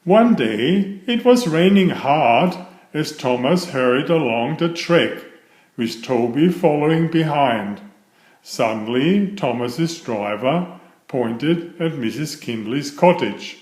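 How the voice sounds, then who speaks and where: A man's voice: on the high side, animated, takes it slowly, darkish tone, roomy sound. A man narrating a children's story, reading aloud from a script.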